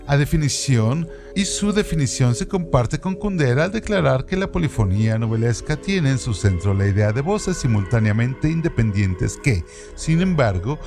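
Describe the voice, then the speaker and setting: A man co-host giving a measured, deliberate scripted reading. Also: medium pitch. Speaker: co-host reading trivia segment. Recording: music playing underneath. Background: background music.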